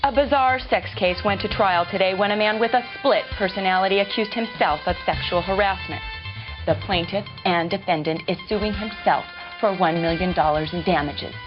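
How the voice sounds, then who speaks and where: An actress plays a female TV news anchor in a video game parody. She reads absurd stories with formal, deadpan polish. The audio is lo-fi and dulled, with music clearly running underneath. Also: mid-range voice, normal speed, natural voice. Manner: deadpan, formal newsreader delivery.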